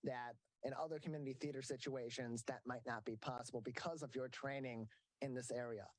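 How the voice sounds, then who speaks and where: A man's voice: mid-range voice, steady tone, fairly quick, no echo, clean studio sound. A man hosting a local TV interview, reading event dates and ticket details and correcting himself along the way. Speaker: host.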